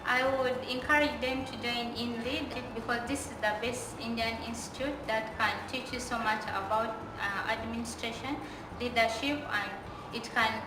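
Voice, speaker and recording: A young woman with a Ugandan accent and a slightly high voice gives a testimonial. She is a little way from the mic in an echoey room, over clear background music. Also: steady tone, normal speed, recorded from a distance. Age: 20s. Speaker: MBA student giving a testimonial. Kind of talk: interview testimonial.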